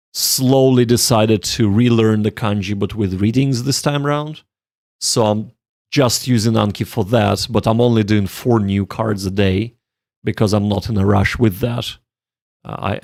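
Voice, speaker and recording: A man's voice: on the low side, creaky, darkish tone, no echo, clean studio sound. A man chatting casually on a study livestream, thinking aloud and reflecting on himself in a rambling way. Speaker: streamer learning Japanese. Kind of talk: casual livestream chat with study and game reading.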